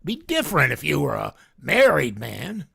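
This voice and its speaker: Gravelly blustering store boss for a radio comedy, played by a man, dry close mic. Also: on the high side, wildly swooping pitch, normal speed, pushed and bright, dry recording, exaggerated voice. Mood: gruff. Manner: curt, blustering. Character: gruff stingy older male store boss. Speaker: radio drama actor. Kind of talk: vintage radio comedy acting.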